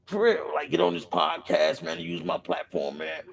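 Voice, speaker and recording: Middle-aged man, raspy and gravelly, a bit high-pitched, emphatic, profane street talk. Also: huge pitch swings, normal speed. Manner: rambling with restarts. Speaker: podcast speaker. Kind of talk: impassioned street-life monologue.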